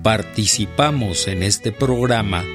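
A man reading scripted radio credits in a formal, deliberate way as the announcer. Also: fairly low pitch. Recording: string and guitar music underneath.

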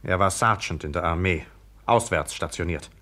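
An actor playing a stern, methodical police sergeant in a 1950s radio play, speaking in a brisk, probing, official way. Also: male voice, low voice, pushed and bright, full character voice. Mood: grave, factual.

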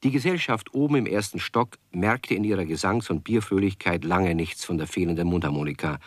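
A man's voice: low voice, a little fast, no room sound, studio quality. A man narrating a children's radio play as a storyteller, in scripted storybook style. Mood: measured storytelling. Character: male storyteller of a children's kobold tale.

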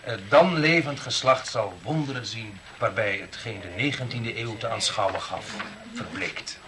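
An actor playing an elderly, pious eldest brother giving a solemn, sentimental jubilee speech in a 1960s radio drama. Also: male voice, lowish voice, unhurried, a bit bright, slight background noise. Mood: solemn, nostalgic. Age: older.

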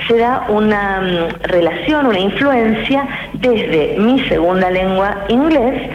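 A woman, a guest linguist on a radio interview, explaining in a measured, academic way with many hesitations. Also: mid-range voice, animated. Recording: roomy, muffled radio with hiss.

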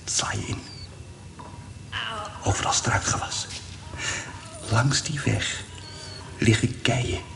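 Creaky whispering condemned prisoner. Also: male voice, medium pitch, slow, pauses often. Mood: secretive, strained. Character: dying condemned male prisoner whispering a secret to a visitor. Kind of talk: radio play acting.

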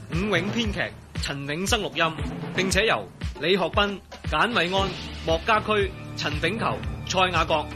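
A man with a Hong Kong Cantonese accent announcing radio drama credits in a dramatic scripted style. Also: high-pitched. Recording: music under the voice.

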